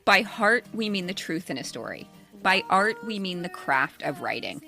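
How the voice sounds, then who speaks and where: A woman's voice: lowish voice, sing-song, normal speed, gravelly, sharp tone, soft background music. A woman in her fifties reading a scripted personal essay as a podcast co-host, with a candid, wry, self-deprecating manner. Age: 50s.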